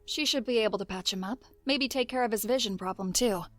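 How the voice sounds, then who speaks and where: A woman's voice: fairly high pitch, fast, fairly bright tone, no echo, lightly acted. A woman playing a capable, purposeful town defender in an audio drama. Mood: grateful, decisive.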